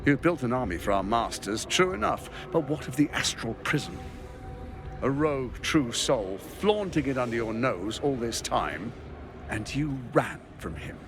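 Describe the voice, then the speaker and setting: A man's voice: mid-range voice, animated, a little slow, over music. An actor playing a smug, scheming male noble villain in a video game cutscene, sarcastic and condescending. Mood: taunting. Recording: game audio with loud score.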